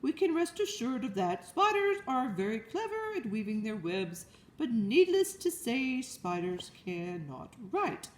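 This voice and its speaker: A woman's voice: sing-song, a little slow. A woman reading aloud and voicing a long-winded male fair announcer in a grand, declaiming way, in a roomy space. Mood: ceremonious. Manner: grand, declaiming, high and loud. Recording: roomy echo.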